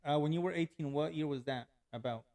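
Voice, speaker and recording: A man's voice: on the high side, a little fast, vocal fry, mellow. A young adult man hosting a podcast, chatting casually and encouraging his guests with frequent "yeah" and "like". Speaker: podcast host. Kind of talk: casual interview conversation.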